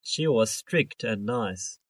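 A man reading scripted English lesson sentences for a listening test, in a measured, carefully enunciated way. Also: fairly low pitch, animated, dry recording, studio quality. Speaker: exam listening test reader.

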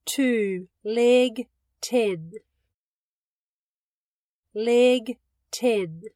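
A woman reading English listening-test items with careful, deliberate enunciation, recorded dry and close. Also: on the high side, warm tone, dry recording. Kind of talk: scripted slow test reading.

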